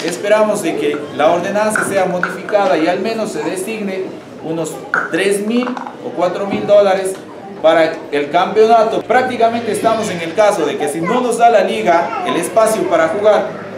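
Male community leader, Ecuadorian accent, high voice, public petition in an echoey room. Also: normal speed, crowd noise. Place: large meeting hall, indoors. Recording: echoey, noisy hall.

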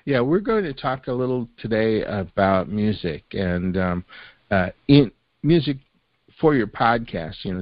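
An older man hosting a podcast in relaxed casual chat, padding his sentences with "you know" and "uh". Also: on the low side, no echo. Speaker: podcast host. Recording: dry, slightly dull podcast audio.

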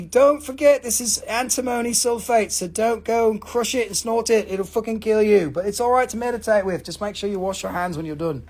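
A man hosting a live rock sale, joking, swearing and rambling in fast auction patter. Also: sits high, breathy edge. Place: mineral shop indoors.

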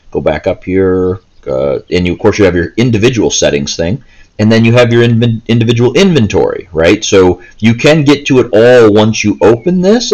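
A man with a fairly low voice talks through a video game he is playing. His delivery is casual and halting, as if working things out aloud. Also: low-quality recording. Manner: hesitant, halting, thinking aloud. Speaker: video game player and commentator. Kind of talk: casual gameplay commentary, chatty walkthrough. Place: indoors, seated, filming handheld gaming screen.

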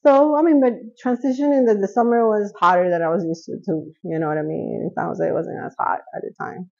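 Podcast guest: woman in her thirties from Costa Rica, gravelly, chatty, recorded lo-fi on a couch. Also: medium pitch, steady tone, fast, darkish tone. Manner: chatty, laughs often, trails off. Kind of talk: casual interview. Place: living room, sitting on a couch.

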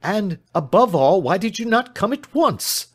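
An impatient, brilliant male detective as a male narrator puts him on, pitched high and swooping widely. He speaks in imperious, emphatic exclamations, urgent and commanding, in storybook style. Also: big pitch swings, unhurried, breathy edge, exaggerated voice. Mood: exasperated. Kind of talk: audiobook character voice.

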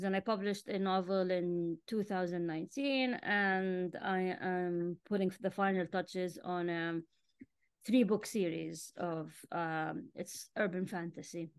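A woman in her forties from Jordan, a native Arabic speaker, talking casually as a guest on a video call and often clearing her throat. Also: fairly low pitch, no room sound. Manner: frequent throat clearing and fillers. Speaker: interview guest, author. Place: home room with bookshelves, indoors. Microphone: wireless earbuds on a video call.

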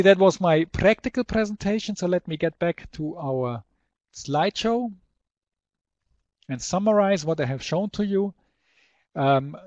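Higher-voiced man presenting a webinar. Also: darkish tone, dry recording. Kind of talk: product demonstration and slide presentation.

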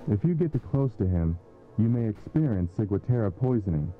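A man narrating a warning broadcast in a flat, instructional delivery. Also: lowish voice, fairly quick, faint music behind. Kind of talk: scripted narration. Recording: tinny phone-like broadcast audio.

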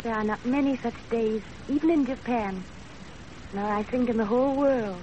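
A woman's voice: a little high, moderate pace, mellow, natural voice. An actress playing a spirited, coquettish young Japanese woman in a 1930s radio drama, girlish and polite. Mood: happy. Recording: muffled, noisy 1930s radio drama recording.

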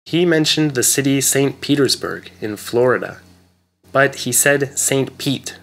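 A man narrating an English lesson, explaining words carefully and clearly. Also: medium pitch, animated, unhurried, a bit bright, a little hiss or hum. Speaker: English teacher. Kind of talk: slow scripted lesson narration.

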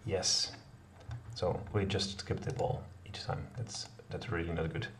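A man's voice: low-pitched, fairly even intonation, unhurried, a bit bright. A middle-aged man live-coding at home, thinking aloud in a hesitant way with many fillers and self-corrections. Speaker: programmer live-coding a kata. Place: home office, indoors. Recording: distant voice with clear background noise. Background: keyboard typing and clicks.

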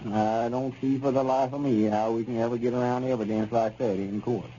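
An actor playing a fussy, scolding old storekeeper with a rural Southern American accent, folksy and reproachful, in 1930s radio comedy style. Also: male voice, medium pitch, steady tone, brisk, dark tone, close to an everyday voice. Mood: exasperated. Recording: dull, noisy old radio recording.

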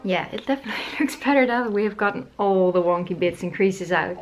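A woman's voice: medium pitch, fast, soft background music, rough recording. A woman in her thirties chatting to the camera from her living room as she shows a craft project, wondering aloud and poking fun at her own skills. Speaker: sewing vlogger. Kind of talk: casual tutorial talk to camera.

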